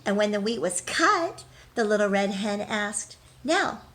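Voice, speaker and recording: A woman teacher reading a storybook aloud expressively, pausing to put questions to young listeners. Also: medium pitch, lively intonation, a bit bright.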